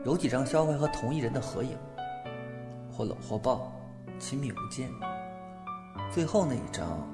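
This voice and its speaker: A man plays an earnest young roommate in an audio drama, smitten and easily flustered. His mid-pitched voice has a creaky edge, and music plays clearly behind him. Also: expressive, moderate pace, pauses often. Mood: stunned, musing. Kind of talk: Chinese audio drama acting.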